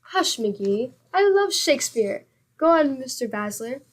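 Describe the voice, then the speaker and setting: A woman acts a middle-aged wife in an old-time radio comedy. Her voice is high, her manner patient and wry, with a chiding, teasing dryness. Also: very animated, a little slow, heavily acted. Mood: curious.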